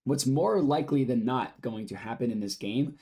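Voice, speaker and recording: A hoarse young man with a raspy voice, hosting his own sports betting channel and talking through his picks in a brisk, upbeat way. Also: medium pitch, warm tone. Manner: upbeat, brisk, explaining odds to viewers. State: hoarse, lost voice from screaming. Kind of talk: casual scripted betting picks talk.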